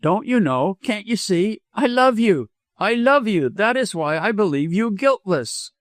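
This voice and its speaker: A man voicing an earnest, lovestruck gentleman doctor in an audiobook, speaking with insistence. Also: a little high, big pitch swings, normal speed, no echo, studio quality. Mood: vehement, pleading. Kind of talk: dramatized audiobook reading.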